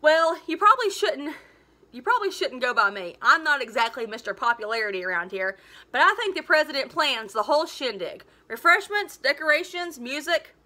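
A woman's voice: high voice, sing-song, a little fast, bright, forceful tone, heavily acted. A woman reading a storybook as an exasperated, know-it-all schoolboy, explaining things emphatically. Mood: dubious. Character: exasperated, unpopular, know-it-all eighth-grade boy.

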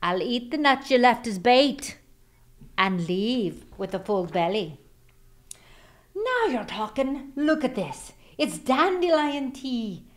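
A woman's voice: on the high side, big pitch swings, slow pace. A woman voicing a cocky, mischievous little old leprechaun who taunts in singsong storybook rhymes. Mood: taunting.

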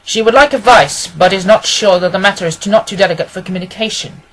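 A reader voicing a confident, husky male detective. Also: medium pitch, quick pace, fairly bright tone, pitched above the performer's own voice, close to an everyday voice, rough recording. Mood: assured, analytical. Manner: assured, precise, expository. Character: confident, analytical male detective with brisk authority. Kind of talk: acted audiobook dialogue.

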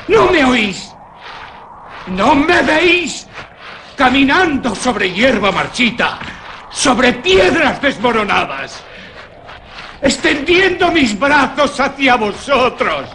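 An actor playing an anguished, feverish lost man in a horror radio play, with a Castilian Spanish accent and a theatrical, halting, solemn delivery. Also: very high voice, sing-song, bright, forceful tone, heavily acted. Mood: desperate calling. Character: anguished, feverish lost man narrating his own haunting. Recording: noisy radio drama recording.

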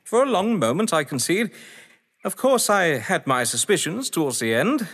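An actor playing a proud, excitable mystery writer in an audio drama, theatrical and indignant in manner. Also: male voice, sits high, moderate pace, breathy edge, exaggerated voice. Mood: admiring, jovial. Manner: theatrical, indignant, well-spoken. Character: proud, excitable English mystery writer, gamesman under suspicion. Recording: long hall-like reverb.

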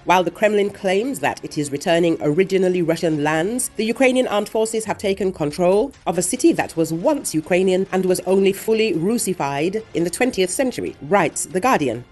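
A woman reading a scripted news report in a measured, even voice, relaying quotes from others. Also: on the low side. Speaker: news narrator. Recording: voice-over with faint music underneath.

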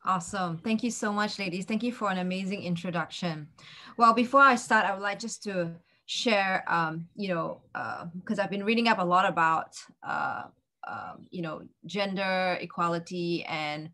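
A woman moderating a panel on a video call, speaking in a measured way with frequent fillers. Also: fairly low pitch, bright, forceful tone.

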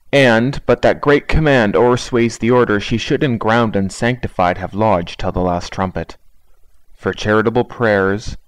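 An actor playing a stern, unbending priest, speaking formally and severely in a Shakespeare audiobook drama. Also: male voice, fairly low pitch, no echo, natural voice, poor audio. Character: stern, unbending churchman at a funeral.

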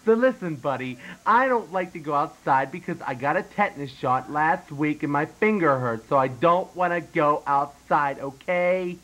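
A man's voice: on the high side, expressive, moderate pace, hardly any pauses, fairly bright tone, rough recording. A man playing a whiny, touchy little boy in an improvised comedy sketch, complaining and repeating himself.

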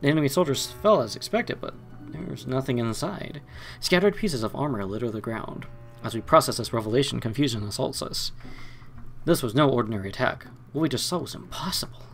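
A man's voice: medium pitch, lively intonation, fairly quick, creaky, a little hiss or hum. A man hosting a let's play, reading game narration aloud and commenting casually.

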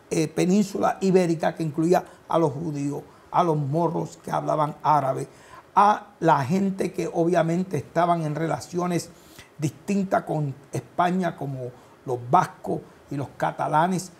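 A man, explaining deliberately and didactically as a guest on a studio panel. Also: a little high, gravelly, a little mellow. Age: 60s. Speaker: panel guest, cultural center director. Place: television studio. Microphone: clip-on lapel mic.

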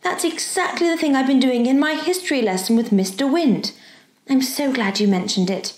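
Woman voicing an eager, high-pitched little girl. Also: very animated, moderate pace, reverberant. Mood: delighted, exclaiming. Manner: polite, gushing, eager to please. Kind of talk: audiobook character voice.

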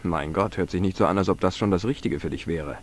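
Trockener junger Abenteurer mit tiefer Stimme von einem Mann gespielt. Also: male voice, sits low, fast, slight background noise, natural voice. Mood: skeptical. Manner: dry, ironic. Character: wry, persistent young male adventurer questioning locals. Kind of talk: video game voice acting.

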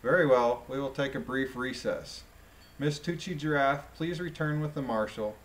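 A man with a medium-pitched voice reads from a document and comments on it informally. His delivery is deliberate and musing, as if thinking it through while he goes. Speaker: commentator reading a court transcript aloud. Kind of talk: read-aloud with informal commentary.

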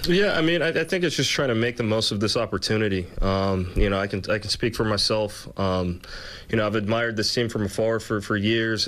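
Low-voiced man at a press conference. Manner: relaxed, many fillers, thoughtful. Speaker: baseball player. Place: press room with team backdrop.

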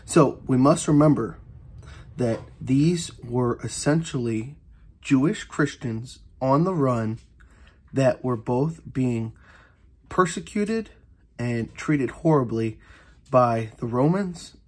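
A man's voice: medium pitch, lively intonation, slow pace, a little mellow, slightly rough. A man explains a Bible passage in an earnest, informal teaching voice. He often repeats phrases and restarts his sentences with fillers, as if thinking aloud while he points through the page. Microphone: voice-over on an overhead camera view of an annotated Bible.

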